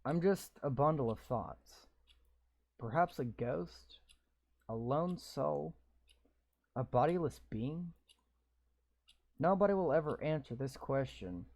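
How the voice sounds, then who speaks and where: A man's voice: medium pitch, darkish tone, no echo. A man playing a visual novel, reading its text aloud and breaking in with sarcastic, swearing comments. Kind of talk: reading game text aloud with live reactions.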